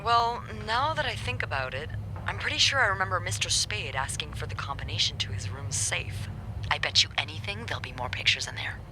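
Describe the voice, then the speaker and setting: A woman's voice: low-pitched, lively intonation, a little fast, background noise. A woman playing a sly, teasing, self-assured hotel receptionist in a video game, with a wry, confident delivery. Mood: conspiratorial.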